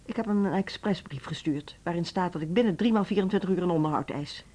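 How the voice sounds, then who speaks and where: A woman's voice: fairly low pitch, fast, warm tone, lightly acted. An actress playing a strict female school director in a radio drama, who speaks in a cool, curt, businesslike way.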